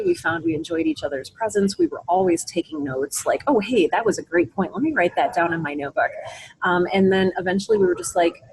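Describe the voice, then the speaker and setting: A woman's voice: medium pitch, vocal fry, a little mellow, low-quality recording. A woman giving conversational, reflective interview answers, explaining her thinking aloud. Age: adult. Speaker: interview guest. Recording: faint background ambient noise.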